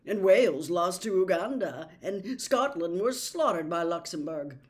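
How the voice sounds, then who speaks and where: A woman voicing a gruff young man, a blunt sports fan. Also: lowish voice, lively intonation, a little slow. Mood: gloomy.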